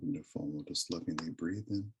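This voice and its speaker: A man leading a guided meditation with prayer and affirmations, speaking in a soothing, deliberate, reverent way. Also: sits low, slight background noise. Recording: live recording.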